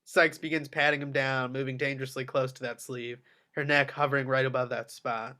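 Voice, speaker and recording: A man's voice: mid-range voice, flat delivery, fairly quick, bright, forceful tone. A man narrating a screenplay's action descriptions at a table read. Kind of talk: screenplay stage-direction reading.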